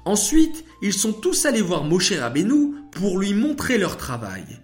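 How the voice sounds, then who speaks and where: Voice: medium pitch, very animated, unhurried, soft background music, voice pushed up. A storyteller voicing a curious, enthusiastic little girl who talks eagerly to her papa. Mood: enthusiastic, proud. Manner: eager, exclaiming, astonished questions.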